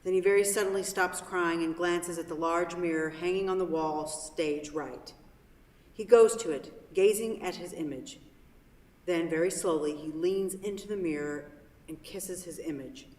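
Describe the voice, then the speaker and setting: A woman's voice: lowish voice, moderate pace, darkish tone. A woman reading stage directions as scripted narration for a play. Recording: very echoey hall from far off.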